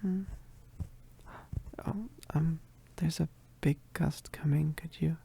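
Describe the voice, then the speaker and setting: A performer voicing a shy, needy, affectionate young werewolf roommate in a roleplay ASMR, with soft, breathy, halting, giggly speech that often drops to whispers. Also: mid-range voice, fairly even intonation, a little hiss or hum. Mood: bashful, grateful. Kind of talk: roleplay ASMR, intimate one-sided dialogue.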